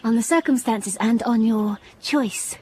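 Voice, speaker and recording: A woman playing a hushed devoted young governess. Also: fairly high pitch, measured pace, a little hiss or hum, natural voice. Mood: tender. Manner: soft, hushed, earnest. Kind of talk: radio drama acting.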